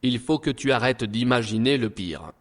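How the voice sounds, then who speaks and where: An actor as a worried husband in a French audio drama. His mid-pitched voice is earnest and coaxing, that of someone trying to stay optimistic. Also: moderate pace, lightly acted. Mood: firm, concerned.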